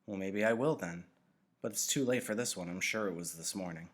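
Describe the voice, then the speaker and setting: An eager, naive boy played by a man reading aloud in a storybook. He is earnest and chatty, full of curiosity, with a pitch lifted a little above a man's low talk. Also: fairly quick.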